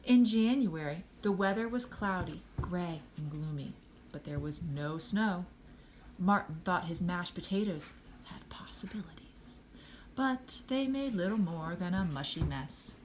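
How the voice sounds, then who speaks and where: Expressive woman reading a children's story. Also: on the low side, unhurried, slight background noise. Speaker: storybook reader.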